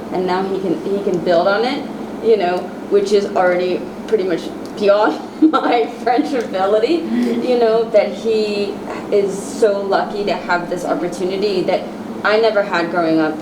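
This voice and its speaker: A woman's voice: mid-range voice, steady tone, moderate pace, few pauses, noisy background. A woman from the United States, a parent, speaking openly in a group discussion. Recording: echoey room, far from mic.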